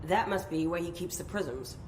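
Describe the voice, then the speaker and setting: A no-nonsense woman scientist gives orders in a low, firm voice, as an actress plays her. She speaks briskly and to the point. A clear noise sits behind the game audio. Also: darkish tone, close to an everyday voice. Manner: brisk, commanding. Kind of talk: game voice acting.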